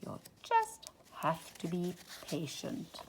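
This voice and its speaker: A woman reading a children's picture book aloud in an expressive, dramatic storytime style. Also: fairly low pitch, slow pace, noisy background. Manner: dramatic, drops into hushed whispers for suspense. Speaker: storytime reader.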